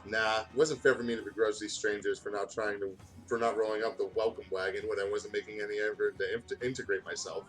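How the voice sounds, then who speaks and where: A man's voice: on the low side, level tone, fast talker, few pauses, a bit bright, low-quality recording. A man doing a casual read-aloud of fan fiction, stumbling over words and breaking off to comment. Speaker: gaming video host reading fan fiction aloud. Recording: music playing behind the voice. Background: music.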